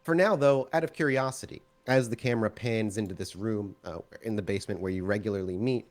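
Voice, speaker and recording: A man's voice: fairly low pitch, fast. A man running a tabletop roleplaying game as storyteller, narrating scenes descriptively and addressing his players. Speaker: game master.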